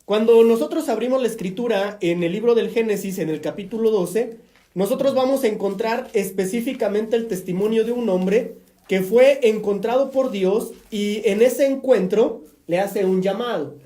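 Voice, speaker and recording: A Mexican priest hosts a live-streamed faith program, talking at length in a chatty, preaching way with joking asides. His voice is mellow and dark. The sound bounces around an echoey room. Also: high voice, moderate pace. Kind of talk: live-streamed religious talk show.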